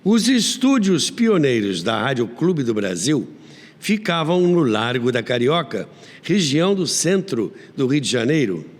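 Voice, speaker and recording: A man's voice: mid-range voice, very animated, measured pace. A Brazilian man hosting a history programme, narrating like a storyteller with emphatic, old-school radio announcer delivery. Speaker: radio history podcast host. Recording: long reverb on voice.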